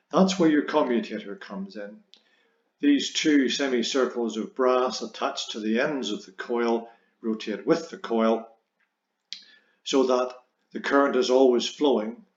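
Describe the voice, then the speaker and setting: A man teaching a physics lesson, explaining step by step and stressing key words. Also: lowish voice. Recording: roomy echo, dull lo-fi sound.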